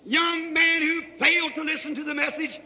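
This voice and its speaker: A man's voice: extremely high pitch, flat delivery, moderate pace. A man preaching a fervent revival sermon in a dramatic, declamatory style. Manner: dramatic, declamatory delivery with emphatic shouts. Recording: reverberant hall recording.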